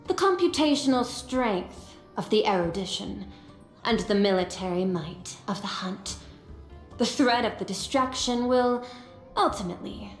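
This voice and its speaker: Actress playing a female corporate executive, sci-fi game, analytical, formal, measured, mid-pitched, roomy sound, soft music. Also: lightly acted. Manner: formal, measured, precise, calculating. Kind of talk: scripted video game character dialogue.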